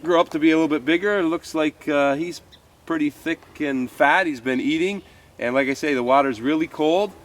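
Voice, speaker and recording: A man's voice: fairly high pitch, fairly quick. A man in his fifties with a slightly stuffy cold, hosting a fishing show outdoors on a creek bank, chatty and folksy with plenty of "uh"s. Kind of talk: casual outdoor how-to talk to camera.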